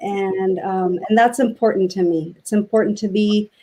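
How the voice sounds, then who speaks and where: A woman from Mexico, a native Spanish speaker, speaking as a guest on a video call and talking reflectively with many pauses and fillers. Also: medium pitch, fairly even intonation, warm tone. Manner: reflective, halting with many ums. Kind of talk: casual interview chat.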